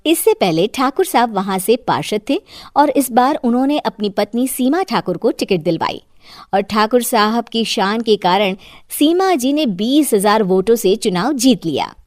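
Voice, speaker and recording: A female storyteller reads a scripted moral tale. Her voice sits a little high, and the recording is dry and close. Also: animated, moderate pace, darkish tone, no room sound. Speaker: story narrator.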